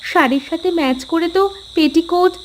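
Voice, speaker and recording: A woman's voice: very high-pitched, brisk, slight background noise. A woman voicing a sulky, nagging young village housewife in a cartoon, wheedling and complaining. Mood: sulky, demanding. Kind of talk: cartoon voice acting in Bengali family story.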